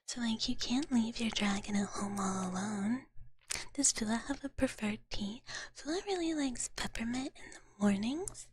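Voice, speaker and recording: A woman streaming ASMR, chatting casually to viewers in a soft, breathy whisper with a gentle, cutesy manner, close to the microphone. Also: on the high side, measured pace. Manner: gentle, cutesy, refers to self in third person. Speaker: ASMR livestream host.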